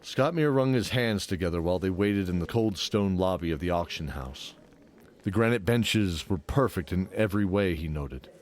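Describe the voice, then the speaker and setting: A man's voice: low-pitched, lively intonation, moderate pace, a little hiss or hum. A man narrating a scripted fantasy audio drama. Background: loud music.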